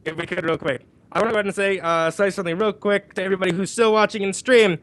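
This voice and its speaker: A man's voice: sits high, fairly even intonation, very fast, fairly bright tone. A man hosting a livestream, talking casually and making appeals to the audience over a voice call mic. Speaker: livestream host. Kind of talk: casual group chat and fundraiser appeal.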